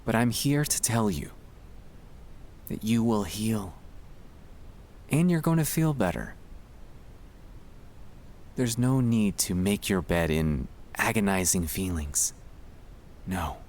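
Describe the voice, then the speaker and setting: Slow, low-voiced man in gentle ASMR. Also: expressive, a little hiss or hum. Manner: gentle, soothing, hushed, reassuring. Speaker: ASMR sleep-aid narrator. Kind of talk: ASMR guided relaxation, scripted comfort monologue.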